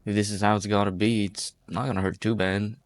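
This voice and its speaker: A man playing a weary, apologetic outlaw in an amateur game fan dub, speaking in a measured, resigned way. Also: lowish voice, flat delivery, dry recording, lightly acted. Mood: resigned, wry. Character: weary, apologetic outlaw hostage-taker, a former lawyer.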